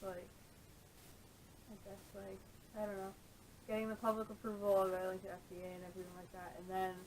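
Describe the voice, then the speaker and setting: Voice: monotone, a little fast. A podcast panelist chatting casually in tentative, loose phrases, recorded on a camera microphone. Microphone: camera mic. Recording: noisy, rumbly camera audio.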